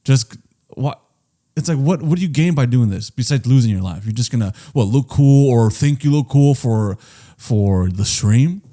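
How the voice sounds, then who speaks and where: A man's voice: mid-range voice, lively intonation, normal speed, mellow. A man in his late twenties co-hosting a podcast, rambling and emphatic in casual chat, swearing often. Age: late 20s. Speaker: podcast co-host.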